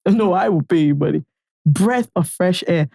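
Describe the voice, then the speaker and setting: A young Nigerian woman co-hosting a podcast, chatting casually with emphatic, animated delivery. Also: fairly low pitch, moderate pace, gravelly, no echo, studio quality. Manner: animated, emphatic, swears and promises often. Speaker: podcast co-host. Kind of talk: casual chat.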